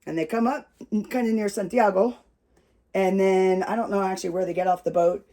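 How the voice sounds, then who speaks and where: An American storyteller telling a family tale with props in a loud, rambling, casual way, swearing freely. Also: medium pitch, fairly even intonation, a little fast. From United States.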